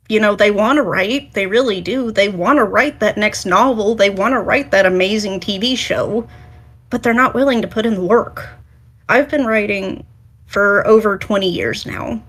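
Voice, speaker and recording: Woman, mid-pitched voice, frank, earnest, emphatic, conversational panel advice. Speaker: writer and podcast panelist.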